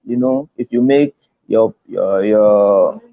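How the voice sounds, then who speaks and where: A man's voice: fairly low pitch, slow, vocal fry. A man with a Ghanaian accent giving an emphatic motivational talk on an online conference call, repeating phrases for weight. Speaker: conference speaker. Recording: dull lo-fi online call audio.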